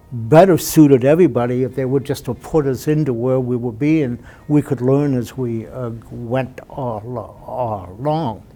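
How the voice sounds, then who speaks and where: A man in his seventies, born in Denmark, telling his life story in an oral history interview, unhurried and conversational with frequent fillers. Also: medium pitch. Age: 70s. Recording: soft guitar music underneath.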